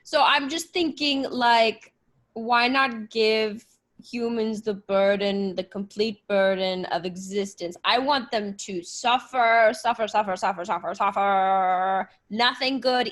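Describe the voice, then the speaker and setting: A woman's voice: a little high, fairly even intonation, a little slow, a bit bright. A woman playing a petty, vindictive God who bosses angels around insistently in an improvised comedy. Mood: gleeful, petty. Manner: bossy, repeats words insistently.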